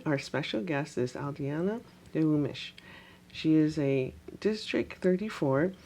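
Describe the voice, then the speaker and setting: A woman's voice: low voice, darkish tone. A woman hosting a television interview, introducing her guest and asking short questions with frequent affirming murmurs. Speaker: TV talk show host. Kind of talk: interview host.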